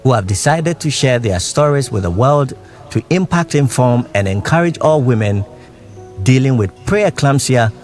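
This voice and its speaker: A man's voice: medium pitch, lively intonation, normal speed. Man narrating a documentary over music. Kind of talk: scripted narration. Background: soft music.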